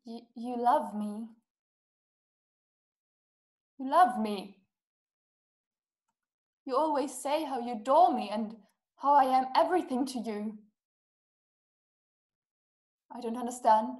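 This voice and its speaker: A woman's voice: a little high, moderate pace, pauses often, a little mellow, echoey room, lightly acted. A young woman playing a fragile, obedient, distraught housebound wife in a video-call play. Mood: disbelieving. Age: young adult. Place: small room indoors. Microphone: video call, webcam.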